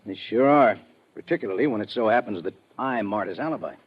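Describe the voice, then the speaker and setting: An actor playing a brisk army lieutenant in an old-time radio detective drama. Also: male voice, fairly low pitch, expressive, slightly rough. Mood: probing, candid. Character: brisk male army lieutenant investigating a murder. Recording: dull, muddy vintage radio recording.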